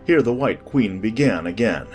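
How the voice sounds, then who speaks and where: A woman's voice: very deep voice, expressive, moderate pace, a little mellow, faint music behind. A woman narrator reading a scripted children's storybook as part of a dramatized audiobook.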